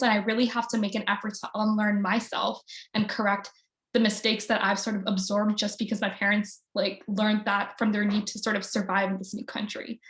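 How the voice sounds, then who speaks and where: A young woman from the American South giving an earnest personal reflection as a student panelist, informally, with frequent "like" and "sort of". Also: mid-range voice. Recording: echoey room sound.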